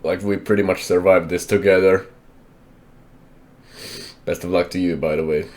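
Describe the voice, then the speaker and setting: Deep-voiced young man, halting and candid. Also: steady tone, darkish tone. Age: young adult. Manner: halting, hesitant, self-correcting, candid. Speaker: vlogger. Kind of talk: personal life update.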